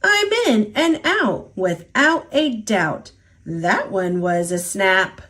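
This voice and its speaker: A woman's voice: medium pitch, lively intonation, takes it slowly, fairly bright tone. A woman voicing a mischievous, boastful little old male leprechaun in a storybook, with a playful, taunting, rhyming lilt. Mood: cocky, teasing. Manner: playful, taunting, sing-song rhyming delivery.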